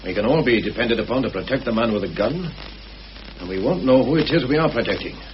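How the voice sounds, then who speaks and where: An actor playing a shrewd war veteran weighing up a plot, in the manner of mid-century radio drama. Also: male voice, medium pitch, expressive, quick pace, natural voice. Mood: shrewd, appraising. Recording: muffled old radio recording, slight hiss.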